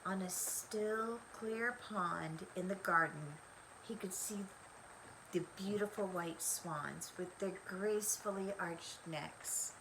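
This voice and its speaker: An older woman reading a children's story aloud in an expressive, deliberate way. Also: medium pitch, noisy background. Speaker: storybook reader. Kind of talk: scripted children's story narration.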